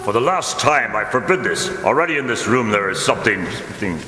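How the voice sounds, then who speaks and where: A man's voice: mid-range voice, expressive, a little fast, pushed and bright. A man playing a solemn, forbidding priest in a melodramatic radio mystery, grave and declamatory. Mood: stern, alarmed. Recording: echoey hall recording with background noise.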